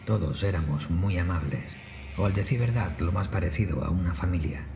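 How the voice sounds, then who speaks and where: A man's voice: low-pitched, brisk, music in the background. A man narrating a scripted first-person horror story as a storyteller.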